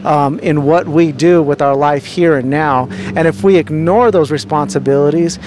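A man's voice: a little high, moderate pace, a little mellow, a little hiss or hum. A young man with a handheld mic interviewing people outdoors on a city street, talking earnestly and preachily with many fillers and restarts.

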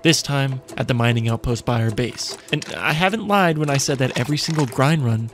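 A man's voice: medium pitch, faint music behind. Man narrating a survival game video. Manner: shouts quick callouts in fights. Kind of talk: game callouts and voice-over narration.

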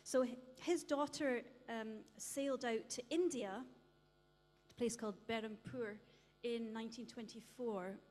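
A woman's voice: a little high, steady tone, a little slow, a little mellow. A woman telling a church history story to an audience, with light humour. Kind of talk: illustrated talk with slides. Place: church hall. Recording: long reverb of a church hall.

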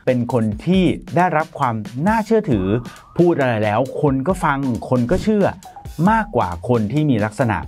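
Lively man explaining to camera. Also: mid-range voice, normal speed, soft background music. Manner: polite, chatty, frequent soft particles. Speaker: presenter. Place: bright studio in front of a plain grey backdrop. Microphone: lapel mic.

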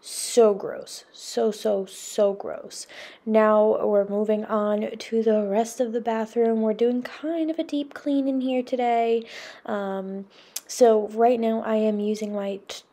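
Chatty young woman with a mellow voice. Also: fairly high pitch, steady tone, takes it slowly. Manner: chatty, recommends products enthusiastically. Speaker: cleaning vlogger. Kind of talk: casual voice-over narration.

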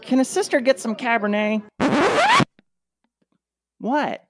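A woman's voice: on the high side, slow pace, a faint crowd. A woman playing an exasperated, sarcastic sceptic in a parody radio play.

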